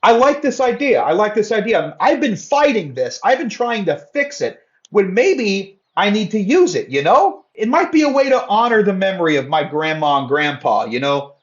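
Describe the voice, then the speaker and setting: A man playing a flustered, earnest caller on a comedy call-in show, halting and exasperated as he repeats himself, with slightly dull sound. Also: high-pitched, big pitch swings. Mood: hopeful. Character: flustered, earnest man calling with an absurd complaint. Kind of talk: improvised comedy storytelling.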